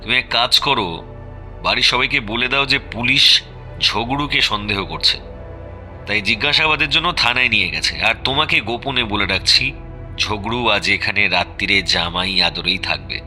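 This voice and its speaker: A man playing a shrewd, self-assured detective in an audio drama, with a knowing, measured, teasing and secretive manner. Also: on the low side, sharp tone. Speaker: detective character. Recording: music behind the voice. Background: music.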